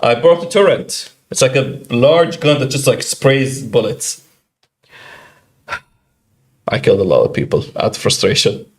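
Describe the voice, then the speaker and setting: A man co-hosting a podcast, telling a video game story in a casual, animated way and acting out what other players said. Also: medium pitch. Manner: animated, quick, acts out quoted players. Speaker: podcast co-host.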